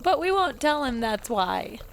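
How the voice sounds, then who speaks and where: Female gamer, high pitch, slow casual delivery, wry, over clear background noise. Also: very animated. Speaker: tabletop game player. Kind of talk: casual playful table chat.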